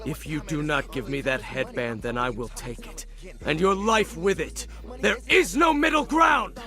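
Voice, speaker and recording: An actor playing a grim, resolute warrior bent on revenge in a video game, grave and terse. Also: male voice, on the high side, animated, a little slow, shouting, music in the background. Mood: threatening. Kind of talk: video game cutscene acting.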